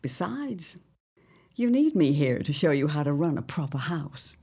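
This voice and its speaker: An actress as a sly, domineering older mother-in-law from an audio drama. Her voice is very low for a woman and swoops widely as she talks down to people. She is pompous and condescending, leaning grandly on her words. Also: very animated, warm tone, slightly rough, exaggerated voice. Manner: pompous, condescending, drawn-out emphatic phrasing. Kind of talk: theatrical audio drama acting.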